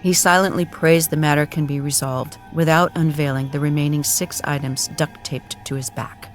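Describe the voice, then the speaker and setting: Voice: mid-range voice, steady tone, moderate pace. A narrator delivering scripted dramatic narration in a solemn, mock-epic storytelling manner. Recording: music clearly behind the voice.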